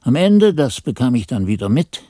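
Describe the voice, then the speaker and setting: An actor playing a reflective monk who narrates his memories in measured, literary radio drama storytelling. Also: medium pitch, very animated, dark tone, no echo, studio quality, close to an everyday voice. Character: reflective monk recounting his novice days. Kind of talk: scripted first-person radio drama narration.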